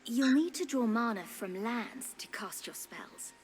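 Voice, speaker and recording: A woman's voice: fairly high pitch, expressive, a little slow, a little hiss or hum. A woman voicing a perky small spirit guide in a video game, coaching a new player in an encouraging, instructive way. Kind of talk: scripted game tutorial voice acting.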